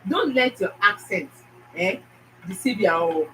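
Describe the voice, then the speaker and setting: A woman's voice: mid-range voice, lively intonation, takes it slowly, fairly bright tone, far from the mic, a little hiss or hum, rough recording. A woman with a Nigerian accent hosting her own channel, talking emphatically to viewers and repeating her phrases. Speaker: YouTube channel host. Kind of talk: casual motivational talk to viewers.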